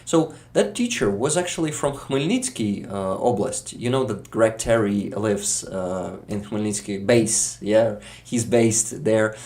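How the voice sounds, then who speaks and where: A Ukrainian man speaking English with a Ukrainian accent, talking as a guest in a casual interview, halting with many fillers. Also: on the low side, expressive, darkish tone.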